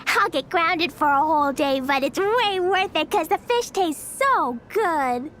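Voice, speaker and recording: Casting: mischievous little girl for a video game, tiny sky-high voice, lively singsong delivery, music underneath. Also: unhurried, full character voice. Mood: cheerful, eager. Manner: childlike, singsong, speaks of herself in third person. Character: cheerful, mischievous little girl who loves explosions. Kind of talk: video game character voice lines. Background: background music.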